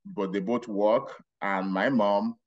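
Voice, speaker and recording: Brief: middle-aged man, Nigerian accent, lowish voice, muffled lo-fi audio, storytelling podcast guest. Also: fairly even intonation. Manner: unhurried, rambling with restarts and laughs. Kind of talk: casual interview storytelling.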